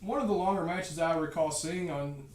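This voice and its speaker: A man's voice: a little high, moderate pace. A man co-hosting a casual wrestling podcast, talking with many fillers and restarts. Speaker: podcast co-host. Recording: echoey room, distant mic.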